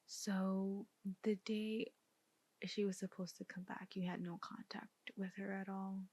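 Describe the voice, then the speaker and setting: A woman performing a soft-spoken ASMR roleplay as a detective, with measured, deliberate questioning. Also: mid-range voice, flat delivery.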